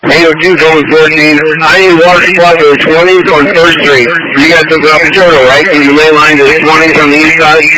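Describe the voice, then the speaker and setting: A man's voice: fairly high pitch, flat delivery, fast, hardly any pauses. A man working as a firefighter, giving terse operational messages over a two-way radio. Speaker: firefighter on fire department radio. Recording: noisy fire radio scanner feed.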